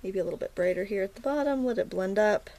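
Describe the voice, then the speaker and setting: A woman teaching a watercolor painting tutorial in a chatty, casual way, with many ums and restarts and a slightly hoarse morning throat. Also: mid-range voice, fast, mellow. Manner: chatty, self-interrupting, frequent ums and restarts. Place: indoors at a painting table.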